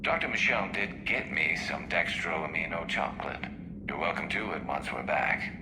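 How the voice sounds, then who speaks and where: Deep flanged turian alien soldier. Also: male voice, expressive, moderate pace. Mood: dry, friendly. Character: male turian alien soldier, dry, friendly squadmate. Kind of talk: video game dialogue. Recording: roomy, clearly noisy game ambience.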